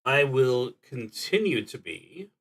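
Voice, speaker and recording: A man streaming a solo tabletop roleplaying game, thinking aloud casually and often pausing mid-sentence. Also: mid-range voice, big pitch swings, fairly bright tone. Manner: halting, with frequent pauses mid-sentence.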